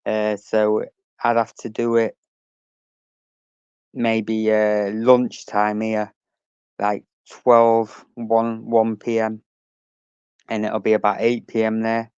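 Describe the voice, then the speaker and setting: A man's voice: on the low side, a little mellow, clean tone, no echo, dull audio. A man hosting a casual livestream, chatting and reading out messages from his chat, swearing now and then.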